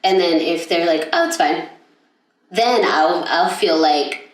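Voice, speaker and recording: Young woman podcast co-host, low voice, loose chatty delivery full of fillers, picked up from across an echoey room. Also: slow. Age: young adult. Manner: rambling, with many fillers and restarts. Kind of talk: casual chat. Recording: echoey room, mic at a distance.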